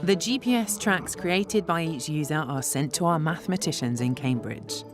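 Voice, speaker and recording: A woman presenting a documentary in clear, measured scripted narration. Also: low-pitched. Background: background music.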